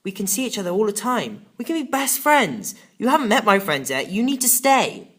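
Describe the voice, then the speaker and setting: A woman's voice: mid-range voice, very animated, brisk, a bit bright. A woman narrating a children's story in the first person, reading in a dramatic, emotive way. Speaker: audiobook narrator.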